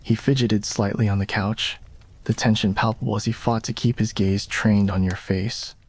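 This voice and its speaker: Low-voiced man narrating a romance. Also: steady tone, normal speed. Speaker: narrator and roleplay performer. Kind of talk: scripted ASMR romance story narration.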